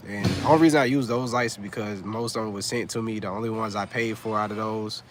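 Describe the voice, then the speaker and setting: A man's voice: fairly low pitch, fairly even intonation, fast talker, background noise. A man in his thirties chatting casually on a livestream, laid-back and rambling, full of fillers and slang. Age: 30s. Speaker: vlogger on a livestream.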